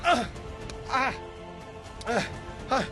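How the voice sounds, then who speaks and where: Man as a very high-voiced anxious ranger companion. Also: animated, extremely slow, music in the background, exaggerated voice. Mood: earnest. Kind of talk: amateur fantasy audio play.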